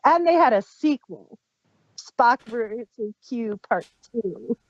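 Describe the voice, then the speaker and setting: A woman's voice: on the high side, expressive, slow pace, dry recording, highs cut off. A woman hosting a casual audio drama review, explaining things in detail like an enthusiastic fan. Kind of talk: casual group discussion.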